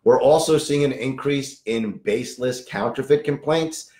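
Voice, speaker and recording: A man in his fifties with a hoarse voice, a lawyer giving a direct news briefing and repeating key points for emphasis. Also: medium pitch, moderate pace, darkish tone, reverberant. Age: 50s. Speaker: lawyer for online sellers.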